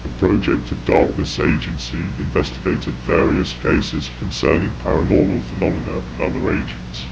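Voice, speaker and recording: Male narrator for scripted horror, deep and heavily fried whispery rasp, grave delivery, muffled noisy audio. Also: fairly even intonation, moderate pace, few pauses. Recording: dull, noisy lo-fi audio with rumble.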